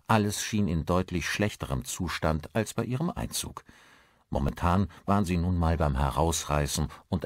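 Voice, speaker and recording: Very deep-voiced male audiobook narrator. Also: dry recording, clean studio sound. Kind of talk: scripted narration of a horror crime novel.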